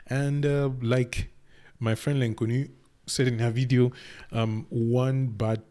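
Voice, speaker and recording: A man's voice: medium pitch, flat delivery, slow pace, mellow. A young man from Cameroon with a Cameroonian accent, hosting a reaction video and thinking aloud in a loose, rambling way with many restarts. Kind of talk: casual reaction commentary.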